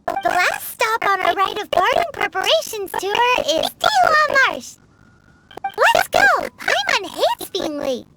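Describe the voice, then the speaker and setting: A woman voicing a tiny, chirpy fairy companion in a game who talks about herself in the third person, with loud game music behind. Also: very high-pitched, very animated, slow, very noisy, heavily acted. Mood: eager.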